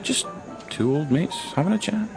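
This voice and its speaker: A man's voice: a little high, expressive, normal speed, creaky, music in the background, rough recording. An actor playing a tactful but persistent male police detective in a radio crime drama, with an informal, coaxing way of questioning. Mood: probing, coaxing.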